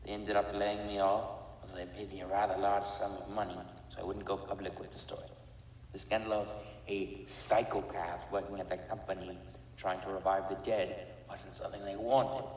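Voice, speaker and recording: A disfigured criminal chemist, played by a man, with a very low voice and a calm, clipped, detached delivery. He sounds set back from the mic in an echoey room with a long tail of reverb. Faint background noise sits under the voice. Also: normal speed, recorded from a distance, close to an everyday voice. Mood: matter-of-fact. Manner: calm, clipped, matter-of-fact. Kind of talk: fan audio drama acting.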